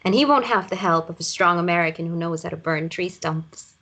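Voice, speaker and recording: A woman's voice: fairly low pitch, fairly quick, fairly bright tone. A woman reading the part of a mischievous, determined woman in love at a drama table read. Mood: sly, intimate.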